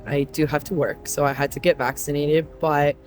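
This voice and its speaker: A man from Croatia who drives trucks, answering interview questions earnestly with frequent pauses. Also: on the high side, level tone, soft background music.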